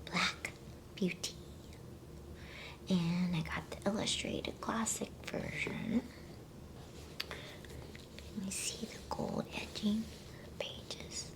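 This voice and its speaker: A woman whispering softly in a soothing, unhurried way, as an ASMR host reading bedtime stories and chatting to listeners. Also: medium pitch, fairly even intonation. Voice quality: breathy whisper.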